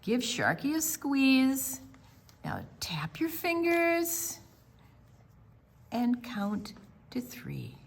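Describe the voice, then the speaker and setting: An older woman reading aloud to small children at storytime, theatrical, stretching words and dropping into hushed asides. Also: high-pitched, sing-song, drawn-out words, gravelly, long pauses. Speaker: children's library storytime reader. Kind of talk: animated read-aloud narration for small children.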